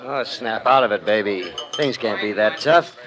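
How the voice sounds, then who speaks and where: A man's voice: on the low side, normal speed, crowd noise, close to an everyday voice. An actor playing a devoted, protective male vaudeville hoofer with slangy, earnest 1940s radio delivery. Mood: coaxing, concerned.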